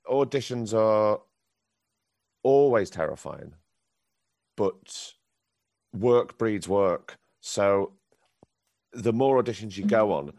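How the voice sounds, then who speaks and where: A man with a very deep voice, a professional actor answering a youth theatre group's questions with candid, encouraging advice. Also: no room sound, studio quality. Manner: candid, encouraging advice with frequent ums and restarts.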